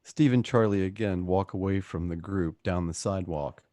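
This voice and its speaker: A man's voice: low-pitched, normal speed, dark tone, no room sound. A man narrating a suspense radio play in a dramatic, scripted style.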